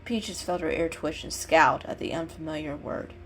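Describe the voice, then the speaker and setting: A woman's voice: sits low, moderate pace, fairly bright tone, a little hiss or hum. A woman giving a scripted, dramatic narration of an animal story as a storyteller. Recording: music behind the reading.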